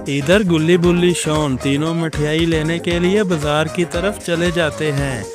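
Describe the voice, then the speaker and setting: A man's voice: a little high, steady tone. A man narrating a comic cartoon story from a script, reading out all the characters' lines himself. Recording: music behind the voice. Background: music.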